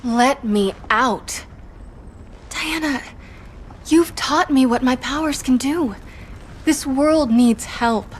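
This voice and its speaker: An actress voicing an eager, impatient young superheroine who talks earnestly and defiantly. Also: female voice, high voice, takes it slowly, long pauses, slight background noise. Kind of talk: game cutscene voice acting.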